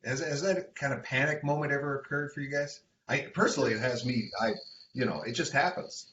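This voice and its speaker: A man's voice: medium pitch, brisk, a bit bright. A man who works as a stadium announcer, chatting casually as a guest at a roundtable. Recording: echoey room, dull sound, background noise.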